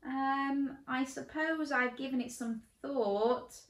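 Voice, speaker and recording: A woman's voice: high voice, slow, voice pushed up. A woman doing a stammering, timid young dinosaur. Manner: hesitant, stammering. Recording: roomy echo.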